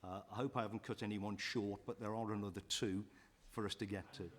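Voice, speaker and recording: A man with a slightly low voice chairs a council committee from a table microphone. His speech halts often, full of fillers and restarts as he gathers his thoughts. The meeting room sound is plain and unadorned. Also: steady tone. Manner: halting, with frequent fillers and restarts.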